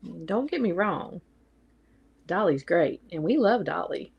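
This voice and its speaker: A woman's voice: lowish voice, expressive, unhurried, creaky, husky, dull audio. A woman from North Carolina with a Southern accent, chatting in a plainspoken, teasing way on a live stream. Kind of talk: casual chat on a live stream.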